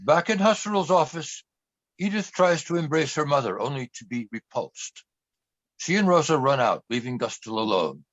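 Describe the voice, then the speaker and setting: A man's voice: fairly high pitch, big pitch swings, normal speed, a bit bright, dry recording, dull audio. A man acting as the grave, earnest narrator of a scripted radio play.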